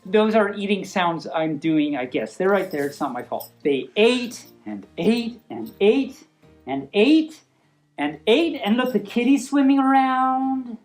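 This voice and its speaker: A woman reads a story aloud with music behind her. Her mid-pitched voice swings in a lively way. She is informal and playful, wandering off into chatty asides. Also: a little slow. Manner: playful, rambling, easily sidetracked. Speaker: storyteller reading a picture book.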